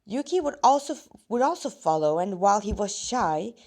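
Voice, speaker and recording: A woman's voice: mid-range voice, sing-song, moderate pace, a little mellow. A woman with a slightly rusty voice reading her own story aloud, casually and with rambling asides, often breaking off mid-sentence.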